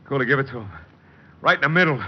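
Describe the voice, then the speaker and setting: An actor playing a cornered, panicky racketeer in an old-time radio drama, barking frantically. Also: male voice, mid-range voice, racing, close to an everyday voice. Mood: urgent, vicious. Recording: muffled, noisy old radio recording.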